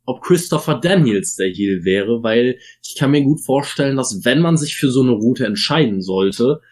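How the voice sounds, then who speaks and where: A man's voice: medium pitch, lively intonation, normal speed, a little mellow. A man co-hosting a casual wrestling podcast, talking in an opinionated way and weighing his points with frequent fillers. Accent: German. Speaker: podcast co-host.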